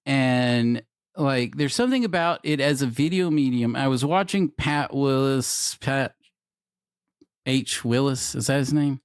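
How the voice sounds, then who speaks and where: A man's voice: medium pitch, unhurried, smooth, no room sound, studio quality. A man in his forties co-hosting a podcast, talking in a casual, rambling way with lots of swearing and laughter. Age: 40s. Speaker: podcast co-host. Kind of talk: casual chat.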